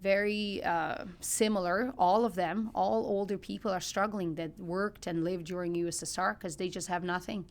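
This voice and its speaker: A woman's voice: lowish voice, fairly even intonation, moderate pace, few pauses. A middle-aged woman from Ukraine with a Ukrainian accent, telling personal stories conversationally as a podcast guest, earnest and emphatic.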